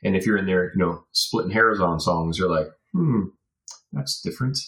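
A man's voice: low voice, lively intonation, moderate pace, a bit bright. A man as a podcast guest, chatting casually and reflectively, rambling as he thinks aloud. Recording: roomy, echoey sound.

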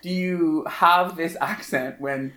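A man's voice: fairly high pitch, fairly even intonation, slow pace, pushed and bright. Quirky man in his thirties, slight French Canadian accent, self-mocking and giggly, recorded in an echoey room. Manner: playful, laughs often, self-mocking. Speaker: podcast guest, hairstylist. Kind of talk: casual chat.

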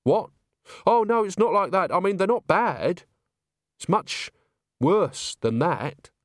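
A man's voice: mid-range voice, expressive, unhurried, no room sound, clean studio sound, pitched above the performer's own voice, natural voice. A man voicing a sensible, earnest and slightly weary young country woman in a storybook reading. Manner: careful, earnest.

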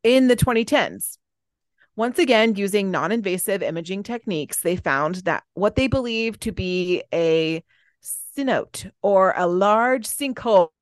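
A woman's voice: mid-range voice, lively intonation, unhurried, dry recording. A woman co-hosting a podcast, reading researched notes in an informal way and chatting casually between them. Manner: informal, stumbles over foreign names. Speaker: podcast co-host.

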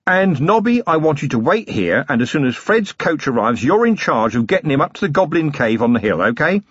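A man voicing a gruff middle-aged police commander who grumbles dryly. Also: a little high, very animated, brisk, fairly bright tone, no room sound, muffled recording, voice pushed up, exaggerated voice. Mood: wry. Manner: dry, grumbling and wry.

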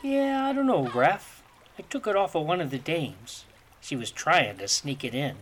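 An actor playing a shifty dockside thug in a 1930s crime audio drama, talking in gangster slang. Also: male voice, a little high, sing-song, moderate pace, slight background noise. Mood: shifty, eager.

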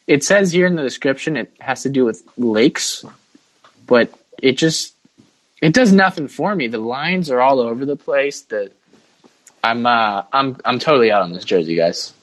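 A man's voice: medium pitch, animated, moderate pace, dry recording, poor audio. A man hosting a casual sports podcast, chatting informally and giving firm opinions. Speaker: podcast host.

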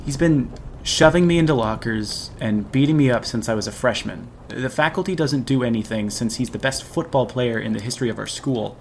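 A man playing a frightened, resentful high school boy in a radio drama. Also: a little fast, creaky, few pauses, a little hiss or hum, lightly acted. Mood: bitter.

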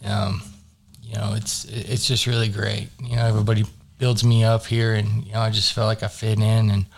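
Low-voiced man in his thirties. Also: vocal fry. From grew up in North Carolina. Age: 30s. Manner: relaxed, halting, many fillers. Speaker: guest in recovery. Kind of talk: casual interview. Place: studio.

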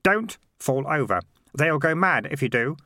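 A man voicing a practical, sceptical teenage boy in an audiobook, matter-of-fact and quick to instruct. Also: on the high side, lively intonation, pushed and bright, no echo, clean studio sound. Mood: urgent.

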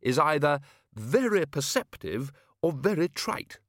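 Man voicing a booming old wizard chancellor, bluff, drawn-out, raised pitch, dry sound. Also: big pitch swings, no room sound. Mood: gruff. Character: blustering older male wizard chancellor, brusque.

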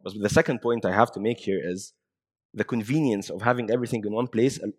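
Low-voiced man from Egypt on a panel. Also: fast. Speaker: panelist, app company representative. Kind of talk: conversational panel discussion.

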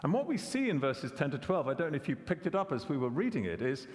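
A man's voice: a little high, sing-song, quick pace, long reverb tail. A man preaching a sermon, conversational and questioning, often repeating words as he corrects himself. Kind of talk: expository sermon.

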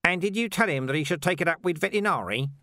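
A man's voice: on the high side, animated, fast, bright, forceful tone, no echo, studio quality, pitched above the performer's own voice. A man voicing a scruffy, persistent, plain-spoken watchman in an audiobook. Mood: wry. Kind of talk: audiobook character voice.

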